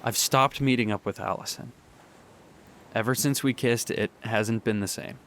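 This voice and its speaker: A young man performing a radio drama monologue, halting and sighing as he pleads with an absent partner. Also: mid-range voice, fairly even intonation, fairly bright tone, a little hiss or hum. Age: young adult. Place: beside the sea.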